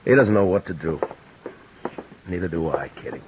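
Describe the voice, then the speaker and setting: An actor playing a sober, courteous frontier marshal in a 1950s radio western, hesitant and plain-spoken. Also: male voice, sits low, normal speed, lightly acted. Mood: concerned. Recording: muffled, noisy 1950s radio recording.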